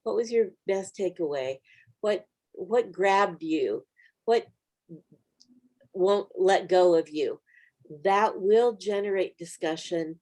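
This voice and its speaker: A woman's voice: medium pitch, slow pace, darkish tone. A woman hosting a Bible study video, talking conversationally in a reflective, encouraging way. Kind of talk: conversational small-group teaching.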